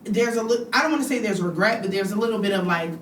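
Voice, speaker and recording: A woman's voice: mid-range voice, fast, fairly bright tone, slight background noise. A woman in her thirties, a podcast guest in casual chat, talking with lots of fillers and quoting her own thoughts. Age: 30s. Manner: chatty, many fillers, quotes herself. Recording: echoey room, mic at a distance.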